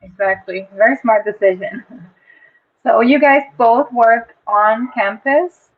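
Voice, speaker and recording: A woman's voice: on the high side, slow. A woman hosting an interview with students, upbeat with short reactions. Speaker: interview host. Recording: tinny phone-like audio.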